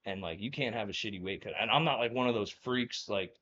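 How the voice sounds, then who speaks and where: A man's voice: fairly low pitch, brisk, pushed and bright, highs cut off, slight background noise. A young man from New Jersey telling stories as a guest in a casual interview, rambling with lots of fillers and laughing often. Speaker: guest, former college wrestler.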